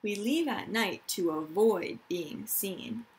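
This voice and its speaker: A woman's voice: mid-range voice, expressive, slow pace, slightly rough. A woman, a teacher of young children reading aloud and explaining things simply, stressing key words. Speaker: elementary school teacher.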